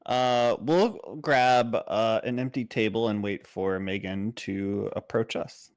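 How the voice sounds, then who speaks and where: A man's voice: on the low side, steady tone, slow. A man chatting casually as a player in a tabletop game, hesitantly feeling out his phrases. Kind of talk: casual game roleplay chat.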